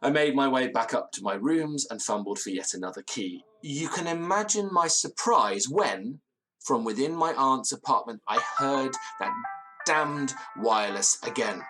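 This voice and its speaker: An actor playing an anxious, genteel British gentleman who recounts a ghost story in formal, ornate, theatrical phrases. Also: mid-range voice, animated, normal speed, soft background music, poor audio. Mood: wry, reflective. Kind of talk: audio drama storytelling, period ghost tale.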